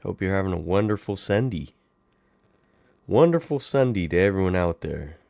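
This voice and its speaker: Young guy, bit raspy, chatty livestream host, voice swings all over, dry close mic. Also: deep voice, normal speed, no echo. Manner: animated, playful, talks to chat viewers. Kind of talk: casual livestream chat. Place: home.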